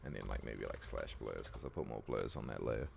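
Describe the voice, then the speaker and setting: Croaky, very deep-voiced laid-back man. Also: brisk. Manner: laid-back, many "like" and "um" fillers. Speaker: video editing tutor. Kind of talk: casual screen-recording tutorial voice-over.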